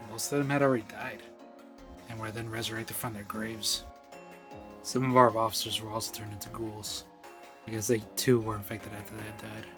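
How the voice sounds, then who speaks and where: A man playing a world-weary investigator in a radio drama, speaking in a grave, deliberate, dramatic way. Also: lowish voice, level tone, a bit bright, soft background music, lightly acted.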